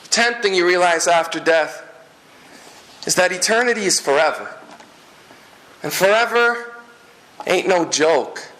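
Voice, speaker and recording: A man from Guyana preaching a sermon in a lively, humorous way, with jokes and asides to the congregation. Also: high-pitched, echoey room, low-quality recording. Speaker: pastor.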